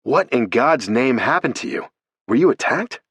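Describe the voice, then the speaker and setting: A man's voice: medium pitch, very animated, normal speed, a bit bright, husky, no echo. A man voicing an alarmed, concerned young man. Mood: shocked. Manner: incredulous, concerned.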